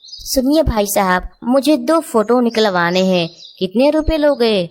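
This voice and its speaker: A woman's voice: fairly high pitch, lively intonation, a little slow, voice pushed up. A woman puts on a polite male parrot from a children's cartoon. He is a thrifty customer who talks plainly and courteously. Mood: polite, asking. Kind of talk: Hindi children's cartoon story.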